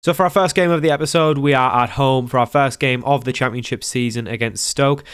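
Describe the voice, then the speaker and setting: A young man with a stuffy nose giving rambling, relaxed commentary over a video game as the channel's host. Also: mid-range voice, a little fast, clean tone, dry recording, studio quality. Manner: rambling, self-correcting, relaxed. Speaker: gaming YouTuber. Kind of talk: casual gameplay commentary.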